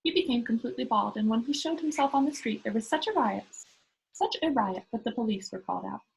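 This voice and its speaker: A woman plays a boastful, cheerful little girl from a children's storybook. The voice is high and swings widely, with a husky edge. She states wild things as plain fact, bossy and full of gusto. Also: expressive, fast, heavily acted, poor audio. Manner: exuberant, matter-of-fact, emphatic. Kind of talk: children's storybook read-aloud.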